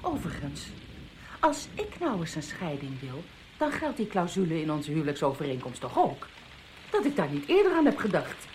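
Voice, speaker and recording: A woman's voice: low-pitched, huge pitch swings, moderate pace, background noise. A woman playing an embittered, overwrought wife in an audio drama, with a dramatic, accusing manner. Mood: cool, calculating. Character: embittered, overwrought wife trapped with her husband.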